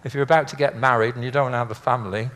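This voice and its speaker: A man's voice: medium pitch, fairly even intonation, very fast, fairly bright tone. An older man giving an informal illustrated lecture in a chatty, rambling, anecdotal way with dry humour. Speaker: speaker, former air traffic controller.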